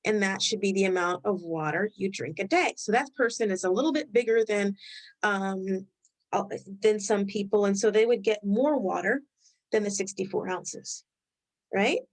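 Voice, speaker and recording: A woman with a Rhode Island accent leading a live health webinar in a loose, conversational way, thinking aloud as she goes. Her pitch sits in a middling range for a woman. The sound is that of a computer microphone on a video call. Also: normal speed. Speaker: webinar host.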